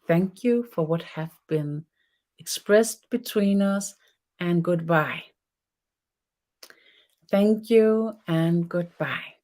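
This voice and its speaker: A middle-aged woman with a Danish accent, her first language Danish, teaching a spiritual webinar in a soft, unhurried way, often pausing and restarting as she searches for English words. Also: fairly low pitch.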